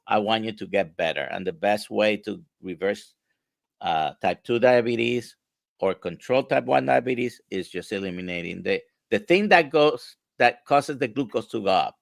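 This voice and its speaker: A man's voice: on the low side, normal speed, creaky, no echo, studio quality. A male doctor from Puerto Rico, explaining diet to an interviewer in an emphatic, conversational way with rhetorical questions.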